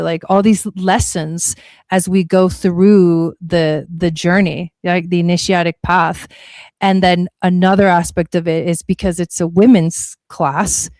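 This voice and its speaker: A woman's voice: lowish voice, a little mellow, no room sound. A woman from Argentina who grew up in California, speaking as a guest teacher, explaining things in a chatty, casual way and often rephrasing. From born in Argentina, grew up in California. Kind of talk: casual explanatory interview talk.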